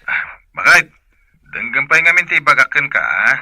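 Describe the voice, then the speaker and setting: A man's voice: mid-range voice, very animated, brisk, noisy background. A man acting a husband talking to his wife in a radio drama, with a Filipino accent. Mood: insistent. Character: husband announcing his new job to his wife.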